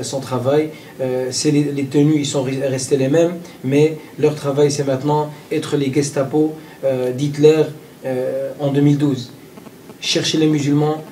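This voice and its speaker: Man from Belgium, interview archive clip, halting and repetitive, dark tone, slightly off-mic. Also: mid-range voice, distant mic, a little hiss or hum, poor audio. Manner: halting, many fillers and repeated words. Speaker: interviewee, group spokesman.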